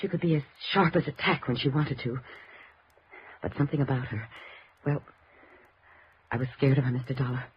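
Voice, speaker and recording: A halting, anxious woman's voice, a shaken young daughter in 1950s radio drama. She repeats and breaks off words, on a dull old recording with some noise. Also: rumbling low. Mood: anxious, insistent.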